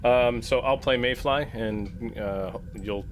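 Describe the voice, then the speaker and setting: A man's voice: mid-range voice, slow pace. A man chatting casually as a tabletop game player, in hesitant stop-start phrases. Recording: clear background noise.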